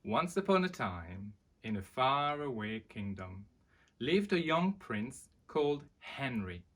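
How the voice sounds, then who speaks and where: A man presenting and reading a children's storybook aloud in an expressive way. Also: medium pitch, takes it slowly.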